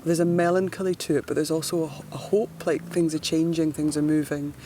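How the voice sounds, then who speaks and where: A Scottish woman talking in a relaxed, reflective way while floating down a river outdoors. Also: low voice, a little fast, a little hiss or hum. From Scotland. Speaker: presenter, endurance cyclist. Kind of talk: casual outdoor narration.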